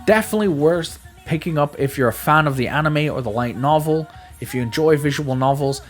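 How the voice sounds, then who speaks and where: A man's voice: fairly high pitch, a little fast, soft background music. A man giving a casual, conversational video game review, speaking emphatically and often stammering or repeating his words.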